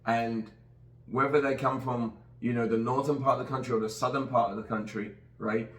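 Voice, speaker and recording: A man's voice: medium pitch, steady tone, a little fast. Earnest man in a roomy, distant recording. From Nigeria. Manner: earnest, rambling with many restarts. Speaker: podcast host. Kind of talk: casual podcast conversation. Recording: roomy sound, distant from the mic.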